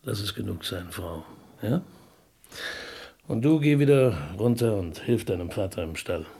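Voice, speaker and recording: An actor playing a stern, curt master of a big farm in a radio drama, controlled and commanding. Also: male voice, fairly low pitch, lively intonation, a little slow, dark tone, husky, full character voice. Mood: quietly commanding. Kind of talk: radio play acting.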